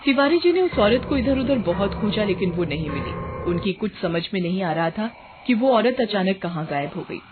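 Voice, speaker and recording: A woman's voice: mid-range voice, expressive, normal speed. A woman storyteller reading scripted horror narration on a tinny recording. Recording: tinny band-limited sound with music.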